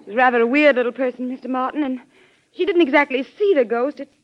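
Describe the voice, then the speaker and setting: A woman's voice: sits high, quick pace, close to an everyday voice. A woman playing a tactful, sensible housekeeper in an old radio drama, polite, composed and firm. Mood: polite, apologetic. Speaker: actor. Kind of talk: classic American radio drama acting. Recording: dull old radio recording.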